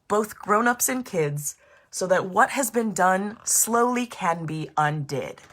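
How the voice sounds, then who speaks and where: A woman with a mid-pitched voice reads aloud as a children's author. She is expressive and animated, and she speaks straight to young listeners as she tells and explains things simply. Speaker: children's author reading own story.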